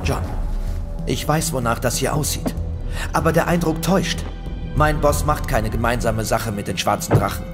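Ein Schauspieler spielt in einem Hörspiel einen ernsten, loyalen Verbündeten eines Inspektors, natürlich und eindringlich gesprochen. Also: male voice, mid-range voice, normal speed, close to an everyday voice. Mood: earnest, urgent. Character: earnest, loyal male ally of the inspector. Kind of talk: naturalistic audio drama acting. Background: music.

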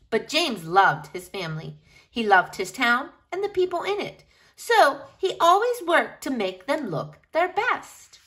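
Animated woman reading a children's picture book. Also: on the high side. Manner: animated, addresses young listeners directly. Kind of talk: expressive picture-book read-aloud for children.

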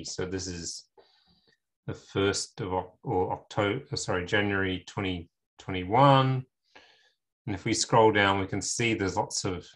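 A middle-aged man lecturing in an informal technical tutorial over a video call, explaining things step by step with pauses. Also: fairly low pitch, fairly bright tone. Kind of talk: informal technical tutorial narrating slides and screen demo.

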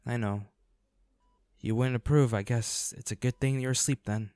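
A man's voice: mid-range voice, steady tone, normal speed, a little mellow, clear, resonant tone, no room sound, clean studio sound, lightly acted. A man playing a protective, devoted hunter boyfriend in a dramatic roleplay audio, speaking earnestly and intensely. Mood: tender, weary.

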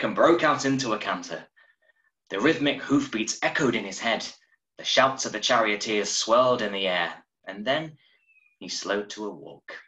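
Low-voiced man reading a story, roomy sound. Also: bright, forceful tone. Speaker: reader of a short story. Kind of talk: expressive audiobook-style story narration. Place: small room at home, indoors. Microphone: video call from a desk, wearing earphones. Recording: roomy, echoing home webcam recording.

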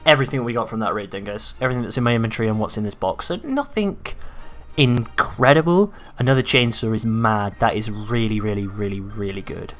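A man giving casual gameplay commentary, his voice a little raspy from hours of talking, excitable and swearing freely. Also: lowish voice, fairly quick, faint music behind. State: slightly raspy from long talking. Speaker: gaming YouTuber.

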